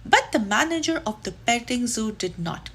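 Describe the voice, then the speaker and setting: A woman reading a children's story aloud, deliberately and expressively, with drawn-out emphasis. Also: fairly high pitch, big pitch swings, fairly bright tone, slight background noise.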